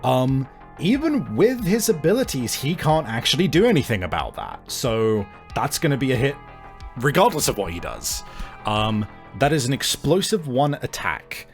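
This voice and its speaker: A man's voice: medium pitch, wildly swooping pitch, moderate pace, soft background music. A man narrating a tabletop game as game master, casually improvising vivid descriptions and swearing now and then.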